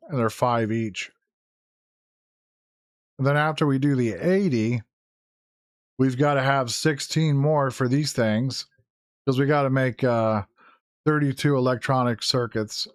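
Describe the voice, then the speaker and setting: A man with a hoarse, strained voice talks through his gameplay in a loose, rambling way, correcting himself as he goes. His pitch sits in an ordinary middle range. The sound is dry and close, with no room around it. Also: measured pace, long pauses, no room sound. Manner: rambling, thinking aloud with fillers and self-corrections. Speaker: gaming YouTuber. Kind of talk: casual Let's Play commentary.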